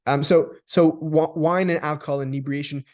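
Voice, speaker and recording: A man's voice: on the high side, fairly even intonation, moderate pace, a little mellow. A man from Australia speaking as a guest in a reflective discussion, often repeating words as he builds long explanations. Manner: thoughtful, restarts and repeats words while building long sentences.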